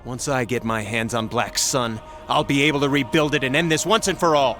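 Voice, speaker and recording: An actor playing a bitter, paranoid rogue military commander in a video game, accusing and seething. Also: male voice, mid-range voice, a little fast, music in the background, natural voice. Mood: seething anger. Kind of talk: video game cutscene acting.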